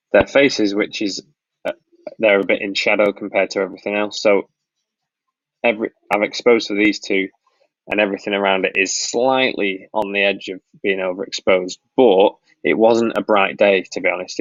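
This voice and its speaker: Low gravelly Lancashire man, casual. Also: no room sound, dull audio. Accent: Northern English (Lancashire). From Blackpool, Lancashire, northwest England. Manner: relaxed, rambling, frequent ums and restarts. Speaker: wedding filmmaker hosting a live Q&A. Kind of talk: casual live-stream Q&A, reading out chat questions.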